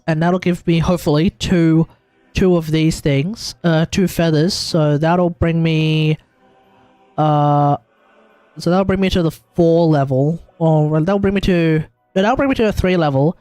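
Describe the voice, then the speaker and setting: An Australian man with a notably high voice talks casually to a livestream. He is blunt and slangy, rambling through his thoughts and leaning hard on his points. The sound is close and dry, with music playing underneath. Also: a little mellow, no echo. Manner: blunt, rambling, emphatic, slangy, thinking aloud. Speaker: livestreamer, gaming content creator. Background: music in the background.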